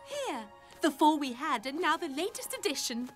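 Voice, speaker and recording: Very high, spirited young cartoon heroine. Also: female voice, very animated, normal speed, fairly bright tone. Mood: brisk. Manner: exclamatory, cheeky. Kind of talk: animated adventure series dubbing. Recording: music behind the voice.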